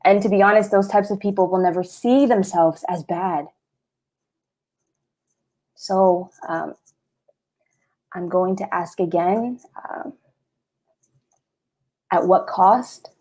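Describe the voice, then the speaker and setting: A measured, questioning woman, acted by a woman in a streamed stage play. She speaks very slowly, with halting deliberation and a middle-pitched voice. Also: a little mellow. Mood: grave, pointed. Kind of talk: stage play acting over video stream.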